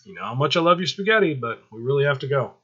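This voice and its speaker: Man putting on a coy, high-pitched young girlfriend. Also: expressive, fast talker, a bit bright, slightly rough, dull audio. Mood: cheerful.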